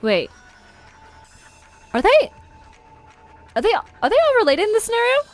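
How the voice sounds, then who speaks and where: A woman's voice: very high voice, huge pitch swings, unhurried, soft background music, full character voice. A woman playing a casual, impulsive young woman who leads her friends in an audio drama. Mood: baffled.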